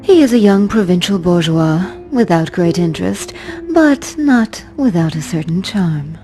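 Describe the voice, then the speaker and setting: An actress playing a cool, seductive spy in a video game cutscene, measured and teasing. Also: female voice, on the low side, animated, warm tone, soft background music. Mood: cool, poised.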